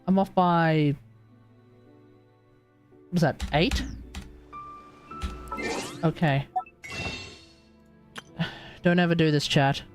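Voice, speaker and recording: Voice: medium pitch, fairly even intonation, extremely slow, long pauses. An Australian streamer giving casual gaming commentary, muttering to themselves and mildly swearing as they go. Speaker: livestream host, gamer. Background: video game music.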